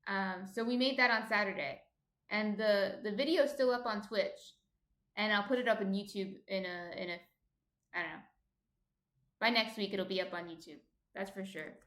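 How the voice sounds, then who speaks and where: A woman's voice: mid-range voice, normal speed, fairly bright tone, echoey room. A woman with a slight New Jersey accent hosts a baking livestream, reading viewer comments aloud and chatting as she cooks. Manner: chatty, thinks aloud while cooking.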